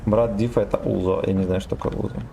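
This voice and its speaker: Joking streamer man, lowish voice. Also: fairly even intonation, fast talker, vocal fry, background noise. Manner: joking, swears freely, reads chat aloud. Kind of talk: casual game stream chatter.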